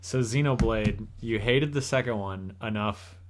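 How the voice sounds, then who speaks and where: A man's voice: fairly low pitch, a little slow. Young man in joking casual chat. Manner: joking, rambling with restarts. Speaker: co-host.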